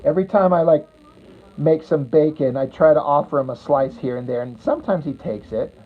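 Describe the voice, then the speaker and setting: A woman's voice: sits low, fairly quick. A woman livestreaming casually to her viewers, rambling bluntly and crudely as she answers their comments. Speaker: livestreamer chatting with viewers while drawing. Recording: tinny phone-like audio.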